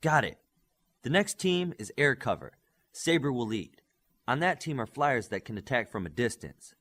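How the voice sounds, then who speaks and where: Man voicing a commanding superhero squad leader, animated pitch. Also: normal speed. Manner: emphatic, briefing-style delivery. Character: young male superhero squad leader, commanding, earnest, brisk. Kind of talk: audiobook character dialogue.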